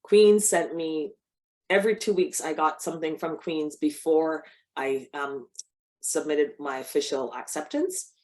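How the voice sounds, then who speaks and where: A woman's voice: low-pitched, steady tone, unhurried, a little mellow. A middle-aged woman from Barbados, a cancer biologist speaking to an audience in a conversational way, with restarts. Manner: conversational, with frequent restarts and fillers. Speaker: cancer biologist giving a talk. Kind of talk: personal talk to an audience.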